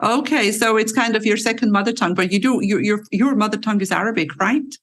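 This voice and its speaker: A woman's voice: medium pitch, fast talker, fairly bright tone. A woman hosting a podcast, chatting and asking her guest friendly questions. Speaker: podcast host. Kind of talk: conversational interview.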